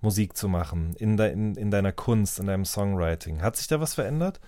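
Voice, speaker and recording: Lowish guy interviewing, um-ing a lot, dry close mic, rambling chatty questions. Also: fairly quick, warm tone, dry recording. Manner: rambling, hesitant questions with many fillers. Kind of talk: conversational interview.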